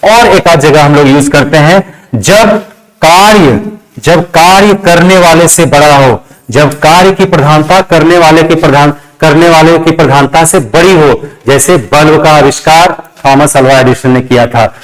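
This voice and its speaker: Bihari guy teacher, voice a bit on the high side, explaining stuff step by step, repeats himself and dictates word by word. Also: a little slow, low-quality recording. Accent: Bihari Indian. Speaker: English grammar teacher. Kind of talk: classroom lesson explained step by step.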